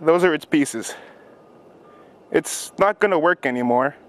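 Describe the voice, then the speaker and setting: A man's voice: a little high, lively intonation, a little slow. A nineteen-year-old man chatting casually and offhandedly to a handheld camera outdoors as the host of an online video. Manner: offhand, informal. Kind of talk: casual vlog chat and demonstration. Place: outdoors in a snowy yard. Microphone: handheld camera at arm's length or set nearby.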